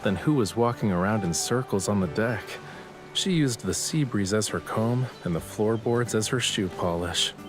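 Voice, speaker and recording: A man's voice: fairly low pitch, expressive, normal speed, close to an everyday voice. A man playing a teasing, pouty and smug young love interest in a romantic audio drama. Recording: music under the voice. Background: background music.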